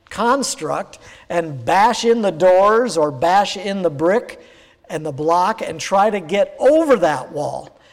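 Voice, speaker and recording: A male pastor with a croaky, hoarse voice, preaching a sermon in an easygoing, conversational way with plenty of fillers. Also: sits high, long reverb tail.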